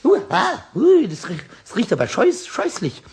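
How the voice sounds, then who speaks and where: Give a cheeky little kobold in a children's radio play. The voice is very high, croaky and wildly animated. Words tumble out repeated and stammered, over faint tape noise. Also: male voice, normal speed, heavily acted. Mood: disgusted. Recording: faintly noisy old cassette transfer.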